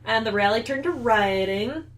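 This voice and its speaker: A woman co-hosting a podcast in casual chat, teasing and quick to jump in. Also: a little high, lively intonation. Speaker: podcast co-host.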